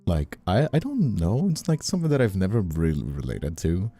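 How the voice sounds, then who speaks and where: A man's voice: deep voice, sing-song, a little fast, warm tone, slightly rough. A man from Brazil chatting casually on a livestream, candid and rambling, swearing freely. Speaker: streamer and voice actor. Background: music.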